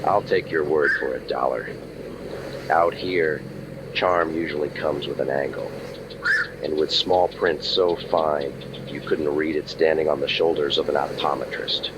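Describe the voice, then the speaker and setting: An actor playing a world-weary, wisecracking private eye in a deadpan old-time radio detective style. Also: male voice, a bass voice, unhurried, noisy background. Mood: dry, sardonic. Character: cynical, world-weary hardboiled male waterfront private eye.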